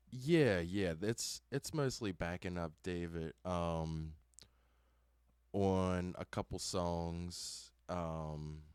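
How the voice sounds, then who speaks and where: A young man in his twenties talks slowly in a very low voice, with frequent ums. His voice is exceptionally clear and close. The room is dry. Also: no room sound. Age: 20s. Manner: relaxed, hesitant, many ums. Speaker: band member, instrumentalist. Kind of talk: casual interview conversation.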